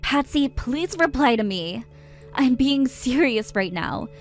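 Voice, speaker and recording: A woman's voice: high-pitched, expressive, takes it slowly, loud music behind the voice. A woman playing an exasperated young bride. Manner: indignant, scolding. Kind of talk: dramatized story acting.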